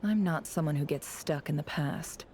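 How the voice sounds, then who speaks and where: A woman acts a guarded female spymaster from a video game. Her voice is low and dark, delivered with measured, wry cynicism. Faint noise sits behind it. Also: close to an everyday voice. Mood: guarded, bitter. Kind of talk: video game character dialogue.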